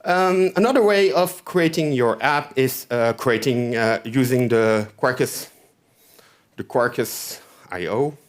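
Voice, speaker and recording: A man's voice: medium pitch, takes it slowly. A man with a French accent giving a chatty conference tech talk, often checking in with the audience with "okay?". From France. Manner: chatty, keeps asking "okay?". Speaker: conference speaker. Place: conference hall stage.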